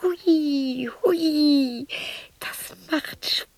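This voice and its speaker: An actress playing a lonely, eccentric widow of sixty in a radio play, muttering to herself in a dramatic, erratic, defiant way. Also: female voice, high voice, drawn-out words, darkish tone, no room sound, exaggerated voice. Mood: whimsical, childlike. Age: 60s. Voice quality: breathy, raspy. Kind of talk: radio play monologue acting.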